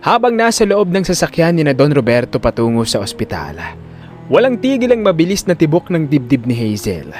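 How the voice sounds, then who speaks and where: A man's voice: on the high side, wildly swooping pitch, fairly quick, a little mellow, faint music behind. A man with a Filipino accent narrating an audio drama in a scripted, dramatic storytelling style.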